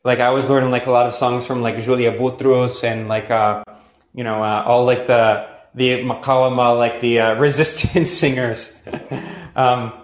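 A man's voice: mid-range voice, level tone, normal speed. Man musing loosely in a roomy echo. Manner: rambling, thinks aloud with many fillers. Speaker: podcast host taking calls. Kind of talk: casual call-in chat.

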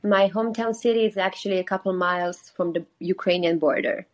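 A woman's voice: on the low side, brisk. A young woman from Romania, speaking with a Romanian accent, who chats earnestly and uses "like" a lot as the guest on a call-in interview. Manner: chatty and earnest, with frequent "like" fillers. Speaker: singer-songwriter guest.

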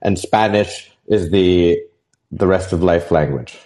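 Low-voiced young man hosting, halting speech. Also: warm tone. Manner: halting, heavy with fillers and restarts. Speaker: podcast host. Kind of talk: casual interview chat.

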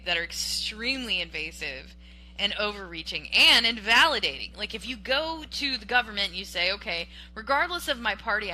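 A woman on a podcast from Western Washington, debating politics in an animated, emphatic way, restarting sentences and tagging them with "okay?". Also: mid-range voice, low-quality recording. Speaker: podcast co-host.

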